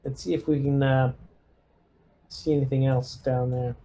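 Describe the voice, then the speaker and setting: A man playing a tabletop roleplaying game, casually directing his squad and thinking aloud in a deliberate way. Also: mid-range voice, level tone, mellow, slight background noise. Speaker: player leading the squad.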